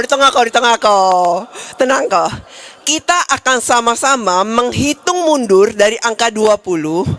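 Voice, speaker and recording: Lively woman preaching in a church. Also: a little high. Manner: lively, coaxing the congregation directly. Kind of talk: sermon with audience games. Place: church hall.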